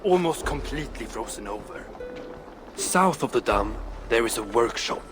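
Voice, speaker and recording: A boastful dwarf craftsman from a fantasy video game played by a man, gruff and sardonic. He talks bluntly at a middling pitch over clear background music. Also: lively intonation, normal speed, lightly acted. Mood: scheming. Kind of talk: video game cutscene acting.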